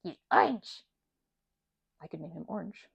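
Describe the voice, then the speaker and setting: A woman doing an ASMR best-friend roleplay, chatting in a rambling, scattered way with playful, childlike excitement. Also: fairly low pitch, moderate pace, vocal fry, breathy edge, no echo, dull audio. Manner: rambling, scattered, jumping between asides.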